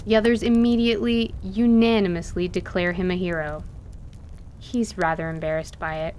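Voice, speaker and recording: A woman's voice: on the low side, steady tone, moderate pace, darkish tone, slight background noise. A woman reading scripted story narration for an audio drama.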